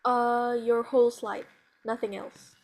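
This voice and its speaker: A woman's voice: fairly high pitch, little pitch movement, unhurried, a little mellow, poor audio. A young woman hosting an online event, introducing the speaker with some hesitant fillers over a video call. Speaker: event host.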